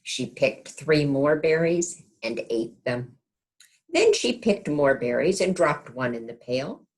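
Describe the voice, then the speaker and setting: Woman, low pitch, very lively intonation, picture-book read-aloud, teacherly asides, gentle questions for small children. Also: slow pace, rough recording. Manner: asks the young listeners gentle tag questions. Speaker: kindergarten teacher reading aloud.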